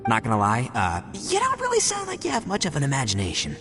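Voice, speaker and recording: An actor playing a brash, boastful young male oni gang boss who talks in a loud, slangy, swaggering way. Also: medium pitch, huge pitch swings, fast talker. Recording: game audio, reverb and music. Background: game music.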